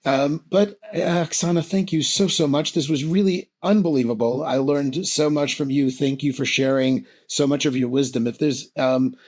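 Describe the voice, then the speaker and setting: A man hosting a podcast, with a raspy voice, speaking in an enthusiastic, affirming way. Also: a little high, moderate pace, few pauses, a little mellow. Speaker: podcast host. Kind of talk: casual interview talk.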